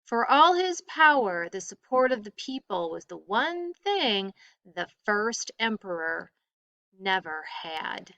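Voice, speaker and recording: Bright-voiced woman teacher reading aloud. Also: mid-range voice, sing-song, slow pace, dry recording, lo-fi sound. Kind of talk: textbook read-aloud for a class.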